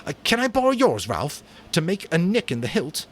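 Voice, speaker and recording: A man's voice: high-pitched, wildly swooping pitch, normal speed, a little hiss or hum, voice pushed up. A man doing a boastful boy hunter leader. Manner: loud, eager, proud.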